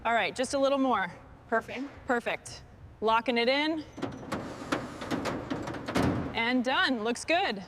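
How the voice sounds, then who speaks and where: A woman's voice: a little high, normal speed, a bit bright, slight background noise. A woman giving clipped, approving commentary to herself while working on a vehicle restoration. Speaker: restorer narrating own work. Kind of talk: short task commentary during vehicle restoration.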